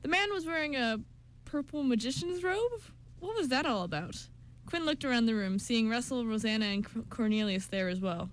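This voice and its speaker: A woman's voice: on the high side, animated, moderate pace. A woman reading scripted narration for an amateur radio play, with small stumbles.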